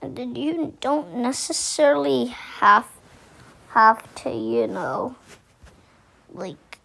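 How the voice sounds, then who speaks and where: A woman giving a casual how-to tutorial, speaking haltingly and repeating herself as she thinks aloud. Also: on the high side, animated, creaky, fairly bright tone. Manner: halting, repetitive, thinking aloud while demonstrating. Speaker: tutorial host.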